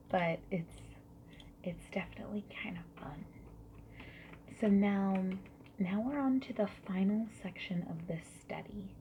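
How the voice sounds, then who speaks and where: A woman doing a soft-spoken ASMR roleplay as a friendly survey interviewer, slipping between whispers and quiet speech. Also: mid-range voice. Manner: friendly, gentle, unhurried questioning with soft acknowledgements. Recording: lo-fi muffled sound with background noise.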